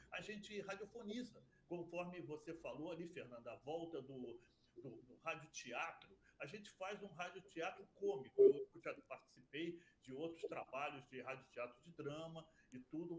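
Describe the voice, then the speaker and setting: A radio man from Rio de tells his stories in a chatty, looping way and often restarts his sentences. His voice sits a little high for a man. A faint hum of noise runs under the recording. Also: darkish tone, poor audio. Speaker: radio broadcaster and producer. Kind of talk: casual interview talk.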